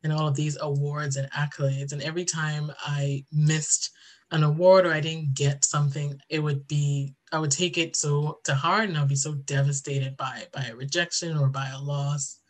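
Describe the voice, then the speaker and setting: A woman's voice: low-pitched, fairly even intonation, moderate pace. A woman, telling her personal story earnestly as an interview guest. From Ghana. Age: 30s.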